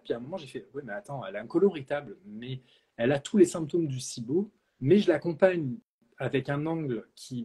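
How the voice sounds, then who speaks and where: A man's voice: medium pitch, dark tone, husky. A man speaking as a naturopath and trainer in a live question-and-answer talk, outspoken and blunt, with frequent hesitations. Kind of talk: live Q&A discussion.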